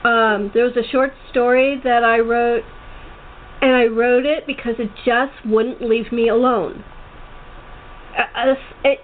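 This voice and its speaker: A woman hosting a casual interview, talking chattily about writing as a writer herself. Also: a little high. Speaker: podcast host interviewing a guest. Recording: thin phone line with hiss.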